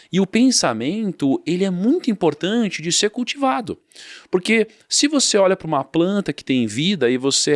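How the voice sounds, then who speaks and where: A man's voice: a little high, no echo, studio quality. A Brazilian man talking casually on a podcast, telling his story and driving home points by repeating phrases. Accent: Brazilian Portuguese.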